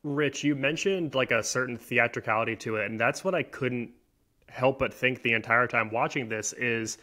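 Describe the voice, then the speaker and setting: A man's voice: mid-range voice, moderate pace, bright, forceful tone. A man co-hosting a podcast, talking casually and analytically, sometimes in emphatic short phrases. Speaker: podcast co-host.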